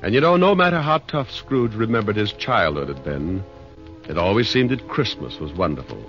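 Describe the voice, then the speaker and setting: An actor playing a wry, slangy storyteller who narrates a Christmas tale in a folksy 1940s radio manner. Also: male voice, on the low side, normal speed. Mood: warm, storytelling. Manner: folksy, colloquial, animated. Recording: dull old radio recording, music behind.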